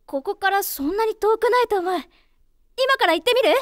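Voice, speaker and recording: A woman playing a supportive, hopeful teenage girl in a radio drama, gentle, girlish and expressive. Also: very high-pitched, brisk, dry recording, clean studio sound. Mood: encouraging.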